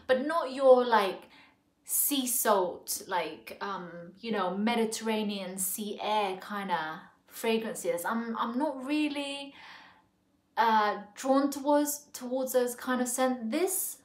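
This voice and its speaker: Woman in her 40s from Thailand, home review vlogger; unhurried, thinking aloud, filler-heavy, roomy living-room sound. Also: on the high side. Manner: slow, musing, many fillers and restarts. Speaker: perfume reviewer. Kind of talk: casual spoken review. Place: living room sofa, indoors. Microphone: camera in front at close range. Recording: echoey room.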